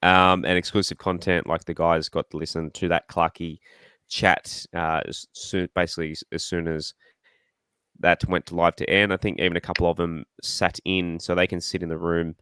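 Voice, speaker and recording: Low, smooth Australian man, slightly croaky. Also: normal speed, no room sound. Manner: rambling, excitable, self-deprecating. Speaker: podcast host. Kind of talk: casual fan podcast chat.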